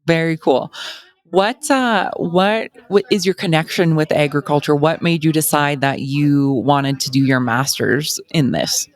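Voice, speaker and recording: A woman's voice: low-pitched, measured pace. A woman, speaking as a podcast host and interviewer.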